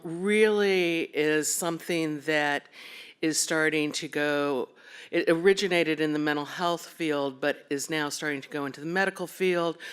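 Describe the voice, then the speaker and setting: A woman's voice: low-pitched, fairly bright tone, clean tone. A woman clinical psychologist, giving a conversational conference talk with frequent ums and self-corrections.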